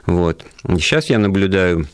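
Deep-voiced male radio host. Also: lively intonation, measured pace, smooth, dry recording. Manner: thoughtful, hesitant, with frequent fillers. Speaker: radio talk show host. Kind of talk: live radio talk show discussion. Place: radio studio.

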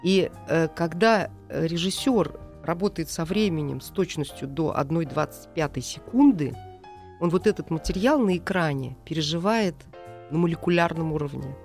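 A woman's voice: sits low, animated, moderate pace, darkish tone. Reflective middle-aged woman, music behind. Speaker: guest, animation film director. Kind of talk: thoughtful conversational interview answers.

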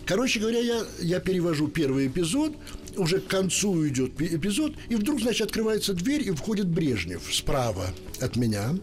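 Older guy from ukraine spinning a story, pitch jumping all over, kinda high voice, faint music under it. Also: fairly quick. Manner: lively anecdotal storytelling, acts out quoted voices. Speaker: interpreter, interview guest. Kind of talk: storytelling in a radio interview.

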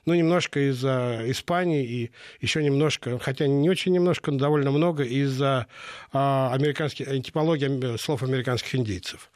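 A man's voice: medium pitch, a little fast, a little mellow, no room sound, studio quality. A middle-aged man giving interview answers, talking with hesitations, frequent fillers and restarts. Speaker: interview guest.

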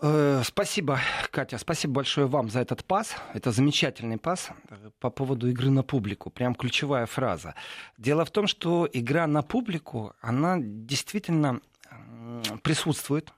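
A man answering interview questions in a relaxed, digressive way, with many fillers and asides. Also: mid-range voice, normal speed, no echo, studio quality. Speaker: guest describing parliamentary assembly.

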